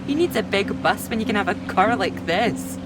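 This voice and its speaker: An actress playing a young academic woman, polite, hesitant and gently teasing, in naturalistic game drama dialogue. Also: mid-range voice, animated, normal speed, very noisy, close to an everyday voice. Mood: light teasing. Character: Clara, young Cambridge academic woman, warm, self-deprecating.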